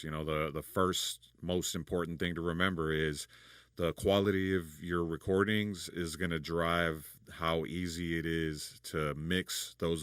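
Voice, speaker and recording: A man with a deep voice explaining vocal recording in a relaxed, rambling tutorial, full of fillers and restarts. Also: clear, resonant tone, dry recording, clean studio sound. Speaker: recording engineer and producer. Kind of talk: casual studio tutorial.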